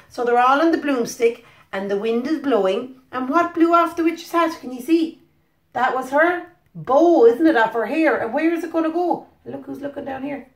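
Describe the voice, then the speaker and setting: A woman with an Irish accent reads a picture book to young children, animated and encouraging, often asking them questions. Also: a little high. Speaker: preschool teacher. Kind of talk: interactive storybook reading for young children. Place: living room indoors. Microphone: phone at about arm's length. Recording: roomy home phone recording.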